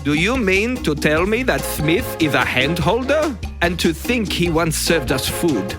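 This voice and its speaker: Gossipy, theatrical lady friend, played by a man, raised pitch, wobbly Eastern European accent, music loud underneath. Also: sing-song, a little slow. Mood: shocked. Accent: put-on, wandering Eastern European. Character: melodramatic, gossipy woman friend with wandering foreign accent. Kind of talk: hammy amateur scene reading.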